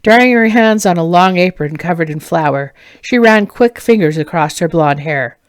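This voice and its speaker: Female author-narrator for fantasy audiobook. Slightly low pitch, dry close sound, expressive scripted read. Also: moderate pace, dry recording. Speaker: author reading own novel.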